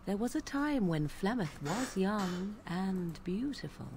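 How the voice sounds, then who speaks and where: An actress playing an aloof, sardonic young witch in a video game, speaking in formal, archaic, measured phrases. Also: medium pitch, big pitch swings, darkish tone, slight background noise. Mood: measured storytelling. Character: aloof, sardonic young witch of the wilds, raised an outcast apostate. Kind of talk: dramatic video game voice acting.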